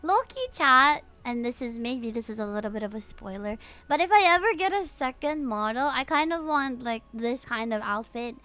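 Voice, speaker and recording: High-voiced gushing woman streamer, Filipino accent. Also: normal speed, hardly any pauses, smooth. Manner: gushing, excitable, reads game dialogue aloud. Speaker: VTuber livestreamer. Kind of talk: casual livestream game commentary. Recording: game music behind the voice. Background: game music.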